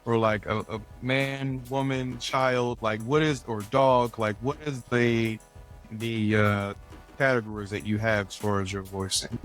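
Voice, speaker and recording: A man's voice: medium pitch, steady tone, a little slow. A man in a mid-range voice hosts a casual interview, affirming his guest and winding through his questions. He talks in a loose, conversational way. Faint music sits underneath. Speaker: host.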